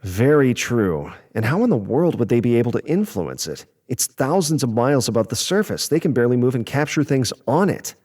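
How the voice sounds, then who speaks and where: A man playing a skeptical, level-headed spaceship crew member in a sci-fi audio drama, measured and rational. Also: mid-range voice, very animated, darkish tone. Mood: calm, skeptical.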